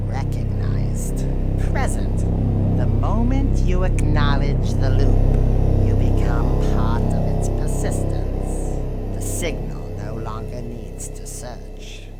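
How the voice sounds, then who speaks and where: A woman delivering scripted analog horror narration in short, deliberate, ominous phrases. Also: rumbling low. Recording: music behind the voice. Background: loud music.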